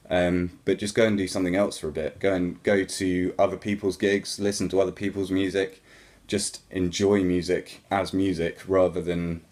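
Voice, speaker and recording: A man with a very low voice talks casually to his viewers, answering their questions about playing music. He rambles in a relaxed way and fills pauses with ums and false starts. A young adult speaking straight to camera. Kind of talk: casual Q&A chat.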